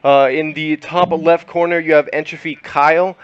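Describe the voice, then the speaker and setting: A man's voice: fairly high pitch, steady tone, normal speed, clear, resonant tone, dry recording, muffled recording. A young man hosting a gaming podcast, chatting loosely with many fillers and laughs. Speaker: podcast host. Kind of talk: casual podcast chat.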